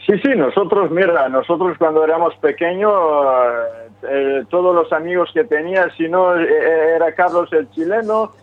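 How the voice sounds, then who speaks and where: A man from Quebec, Canada, who speaks French as his first language, talking haltingly with many restarts in a phone interview about his band. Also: fairly high pitch. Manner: hesitant, many restarts and fillers. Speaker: singer of a metal band, interviewee. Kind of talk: phone interview, casual talk. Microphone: telephone. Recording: phone line.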